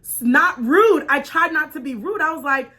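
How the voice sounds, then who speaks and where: A woman with a high, bright voice hosts her own talk show. She tells personal stories in an informal, chatty way, expressively acting out dialogue and checking in with listeners as she goes. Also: expressive, normal speed. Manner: punctuates points with check-in questions like "right?". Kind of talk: animated personal storytelling to an online audience.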